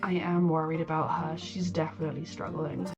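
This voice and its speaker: A woman's voice: low-pitched, flat delivery, fairly quick, lo-fi sound, faint music behind. Sniffly woman with a head cold. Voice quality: nasal from a cold. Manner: chatty, empathetic, talks to the characters. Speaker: reaction video host. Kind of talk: casual reaction commentary.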